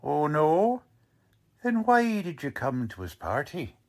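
A man's voice: fairly high pitch, very animated, slow pace, exaggerated voice. A man playing a blunt, sarcastic police lieutenant in the style of a 1940s radio drama. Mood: sarcastic, questioning. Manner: blunt, mocking, slangy.

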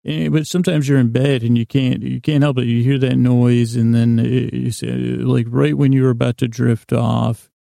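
Male bedtime podcast host: very creaky, flat delivery, meandering and halting, lulling monologue. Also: medium pitch, dry recording, studio quality. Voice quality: gravelly, creaky. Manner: meandering, halting, self-interrupting. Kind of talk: rambling bedtime monologue to lull listeners to sleep.

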